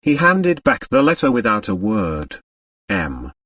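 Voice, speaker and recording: A man's voice: fairly low pitch, a little slow, dry recording. Male narrator for scripted mystery fiction: dramatic, very varied intonation, dry recording at some distance from the mic. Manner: expressive, dramatic storytelling. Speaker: audiobook narrator.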